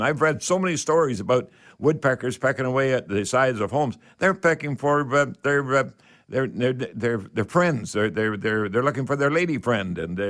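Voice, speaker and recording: A man's voice: mid-range voice, fairly bright tone. An older man hosts a radio call-in show, chatting in a folksy, rambling way with old-fashioned expressions. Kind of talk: casual home-improvement call-in radio talk.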